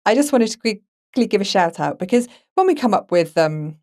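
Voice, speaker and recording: A woman's voice: medium pitch, very animated, brisk, darkish tone. A woman hosting a podcast, talking casually and with enthusiasm. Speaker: podcast host. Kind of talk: casual discussion with passages read aloud.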